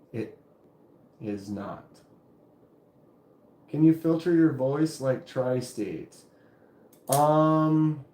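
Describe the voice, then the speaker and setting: A man with a deep voice hosting a casual live stream, rambling loosely and reading viewer comments aloud. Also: echoey room. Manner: relaxed, rambling, reads chat aloud. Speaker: knife channel live streamer.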